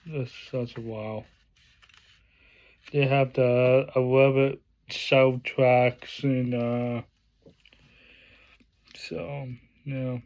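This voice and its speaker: A man talking casually through an unboxing vlog, halting with many fillers and restarts, praising what he shows. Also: medium pitch. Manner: plain enthusiastic praise in a flat delivery. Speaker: vlogger.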